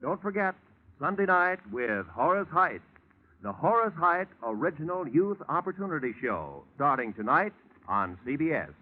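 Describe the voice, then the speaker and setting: A man's voice: a little high, very animated, moderate pace. A man with an American accent reading scripted announcements in 1940s radio style. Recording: tinny, noisy 1949 radio recording.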